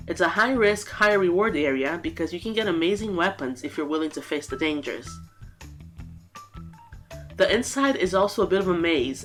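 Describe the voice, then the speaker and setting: A woman's voice: lowish voice, fairly bright tone. A woman with a nasal, congested voice from a cold, giving an earnest, scripted game ranking. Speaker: gaming YouTuber.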